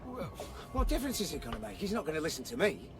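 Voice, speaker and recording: A man's voice: on the high side, huge pitch swings, fast. An actor playing a defensive, dismissive working father in a naturalistic radio drama, speaking curtly. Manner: curt, defensive.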